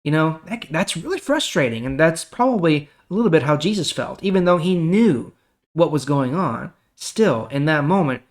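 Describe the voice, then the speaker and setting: A man's voice: a little high, lively intonation, moderate pace. A man reading the Bible and explaining it in a casual, conversational way as host.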